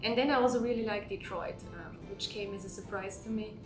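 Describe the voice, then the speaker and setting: A woman's voice: medium pitch, brisk, far from the mic. Austrian woman talking thoughtfully, lots of um's, roomy echo, music underneath. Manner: reflective, thoughtful, with frequent um's. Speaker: theater scholar. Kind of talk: conference interview answers. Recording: echoey room with background music.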